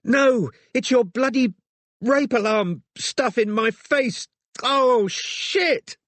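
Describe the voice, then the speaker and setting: A shaken young woman with an injury, performed by a male narrator in an audiobook. The voice is lifted high, pained and gasping, with a feisty, exasperated edge. It is recorded very dry. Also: animated, a little slow, no echo. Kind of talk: audiobook character acting.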